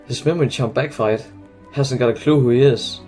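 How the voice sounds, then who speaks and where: Woman acting teenage boy, very low pitch, blunt, wry, protective, audio drama, faint music behind. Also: a little fast, warm tone. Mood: exasperated. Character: exasperated teenage boy, protective older brother.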